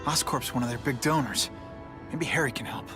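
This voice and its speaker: An actor playing an earnest, quippy young masked superhero in a video game cutscene, speaking conversationally with loud music over him. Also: male voice, mid-range voice, a little fast, lightly acted. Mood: reflective resolve.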